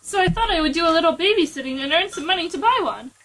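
A woman acts out a perky, vain young secretary in a comic audio play. The voice is extremely high and swoops up and down, with faint noise behind it. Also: lively intonation, fast, a bit bright, exaggerated voice, low-quality recording. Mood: chatty, breezy. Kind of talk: comic audio drama acting.

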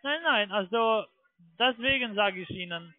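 A man speaking halting, ungrammatical German with a foreign accent, making an improvised sales call over the telephone. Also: high-pitched, lively intonation, dry recording. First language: not German. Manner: halting, ungrammatical, often ending on "ne?". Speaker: phone scam caller posing as broker. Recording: muffled narrow phone line.

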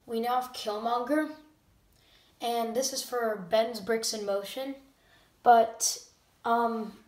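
A channel host talking casually and unscripted to viewers at home, a bit halting and often self-correcting. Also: mid-range voice. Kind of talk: casual unscripted talk to camera audience. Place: indoor room at home. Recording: roomy, distant microphone.

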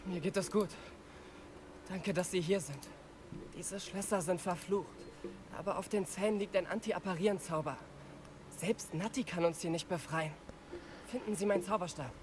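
Game role: captive gentleman wizard, male actor, measured and urgent, clear ambience behind. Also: sits high, background noise, close to an everyday voice. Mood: composed, urgent. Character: composed captive adult male wizard, worried husband. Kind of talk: video game dialogue.

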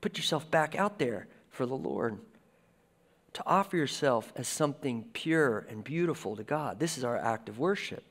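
A man's voice: medium pitch, moderate pace. A man in his forties preaching a sermon from a church stage, in a conversational style. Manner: conversational preaching with lively gestures. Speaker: pastor. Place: church stage with a lectern and guitars. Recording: reverberant church hall.

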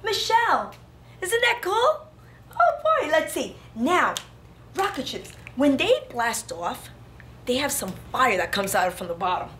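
A woman preschool teacher with a high voice that swings up and down in a sing-song way as she guides small children through a craft. She sounds exclamatory and instructional, recorded in an echoey classroom. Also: sing-song, unhurried, fairly bright tone. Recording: roomy classroom echo, faint background noise.

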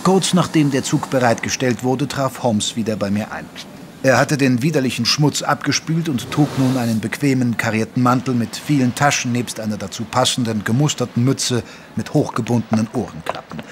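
An actor playing a retired old army doctor who narrates a radio play in a measured, genteel, reminiscing way. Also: male voice, mid-range voice, a little hiss or hum, lightly acted. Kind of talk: scripted first-person radio play narration in German.

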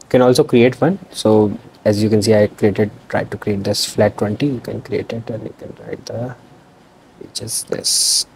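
A man's voice: on the low side, fairly even intonation, normal speed, creaky, darkish tone, slight background noise, rough recording. A young man casually walking through a website demo from his room, talking loosely with restarts. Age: young adult. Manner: loose, unscripted, with fillers and restarts. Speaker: student presenting a project. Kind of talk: casual screen-recorded demo walkthrough. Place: small indoor room.

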